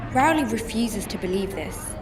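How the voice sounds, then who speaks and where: An actress playing an earnest, vulnerable young woman in a radio drama, speaking and narrating with halting emotion over the loss in her life. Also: mid-range voice, expressive, noisy background, close to an everyday voice. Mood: hushed, hopeful. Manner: halting, emotional delivery with broken phrases. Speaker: lead actor and first-person narrator.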